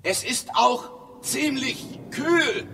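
An actor playing a stubborn, testy husband in a radio drama, speaking in a curt, dryly formal, pointed way. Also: male voice, very high-pitched, expressive, drawn-out words, pushed and bright, slight background noise, heavily acted. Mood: absorbed, intent. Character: stubborn, testy husband digging obsessively in his garden. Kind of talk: German radio drama acting. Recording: long reverberant echo.

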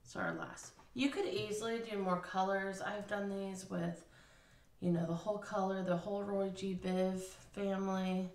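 A woman's voice: mid-range voice, steady tone, measured pace, darkish tone. A woman casually explaining a craft step by step at a table, thinking aloud with fillers. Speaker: craft instructor. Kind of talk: casual hands-on craft tutorial. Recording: roomy, slightly distant.

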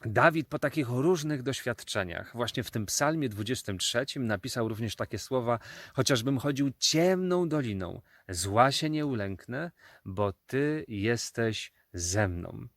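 A man's voice: mid-range voice, animated, unhurried, no echo, clean studio sound. A man telling Bible stories to children as their storyteller.

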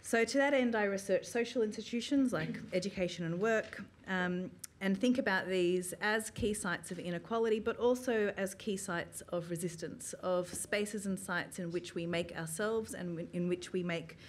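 A woman in her thirties, an academic, giving a prepared public talk from notes in a small room, measured and earnest, with frequent ums. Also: mid-range voice, a little hiss or hum. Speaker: academic speaker. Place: small gallery room with an audience, indoors. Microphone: stand mic, filmed from the audience.

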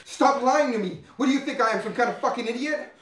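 Horror film role: male actor as haunted, foul-mouthed, agitated young man, deep voice, echoey room. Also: lightly acted. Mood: furious. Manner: halting, sweary, agitated. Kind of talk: found-footage horror film acting, confessional to camera. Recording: roomy echo, faint background noise.

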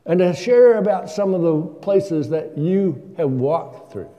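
A man's voice: sits high, lively intonation, slow pace, warm tone, dull audio. An older man preaching a sermon from a church pulpit, asking his congregation questions in a conversational way. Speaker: guest preacher. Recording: long church reverb.

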